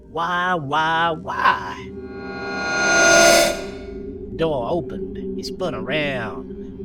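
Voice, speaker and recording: A man narrating a horror story in a dramatic, theatrical style, with emphatic phrasing and deliberate pauses. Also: a little high. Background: music.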